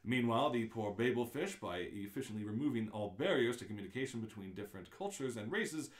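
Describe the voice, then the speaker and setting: A man's voice: mid-range voice, expressive, quick pace, lightly acted. A man playing the dry, erudite narrator of a comic science-fiction guidebook, explaining things wryly in a radio play. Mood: wry, explanatory. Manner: explanatory, wry. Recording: roomy, echoing live-stream audio.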